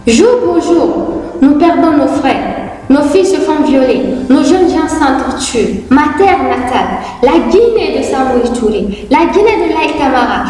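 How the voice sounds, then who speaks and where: A long, echoing reverb surrounds a young woman. Her voice is fairly high. She recites patriotic poetry solemnly, declaiming it in short, deliberate phrases. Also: a little mellow. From Guinea. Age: young adult. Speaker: poet. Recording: very echoey, long hall reverb.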